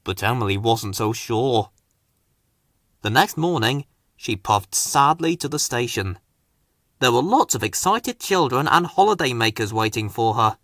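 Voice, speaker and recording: A man reading a children's story aloud with dramatic, deliberate expression. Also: mid-range voice, expressive, a bit bright, no echo, studio quality. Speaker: storybook reader.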